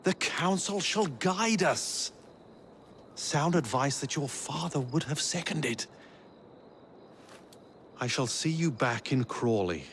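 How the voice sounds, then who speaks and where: An actor voicing a stern older man mentor with a measured, authoritative way of speaking. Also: fairly high pitch, lively intonation, fairly bright tone, a little hiss or hum, exaggerated voice.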